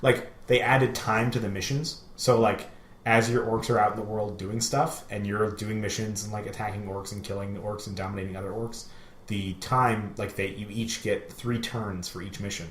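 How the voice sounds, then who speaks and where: A man's voice: lowish voice, steady tone, normal speed. A man co-hosting a podcast, rambling casually about games in slangy, sweary storytelling. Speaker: podcast co-host. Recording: echoey room, faint background noise.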